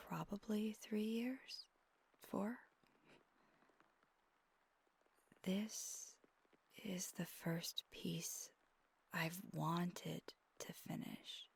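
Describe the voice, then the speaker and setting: Woman acting slow, whispery, wistful vampire girlfriend. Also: lowish voice, full character voice. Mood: hesitant, regretful. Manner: soft, breathy half-whisper, halting and confessional. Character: wistful, tender female vampire girlfriend, ageless, confiding softly. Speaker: ASMR roleplay performer. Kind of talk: intimate ASMR roleplay monologue. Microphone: close microphone.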